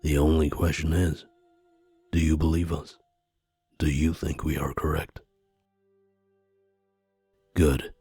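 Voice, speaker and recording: Solemn seer, bottomless pitch, rough husky tone, conspiratorial, measured formal delivery, visual novel game. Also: male voice, level tone, dark tone, no room sound, exaggerated voice. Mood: solemn, persuasive. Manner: grave, deliberate, formal, speaks as "we". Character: solemn, conspiratorial mystic seer advising a monarch. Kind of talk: scripted visual novel character dialogue. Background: background music.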